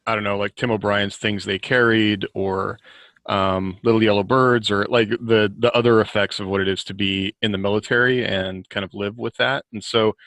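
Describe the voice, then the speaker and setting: Guy on a podcast, lowish but bright voice, halting and thoughtful, chatting about his work. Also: steady tone, no room sound. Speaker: author, podcast guest. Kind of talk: casual group podcast chat.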